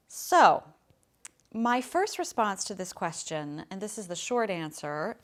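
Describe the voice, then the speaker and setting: A woman voice coach explaining a question in a friendly, thoughtful and plain-spoken way. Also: medium pitch. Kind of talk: casual explanatory lesson to camera.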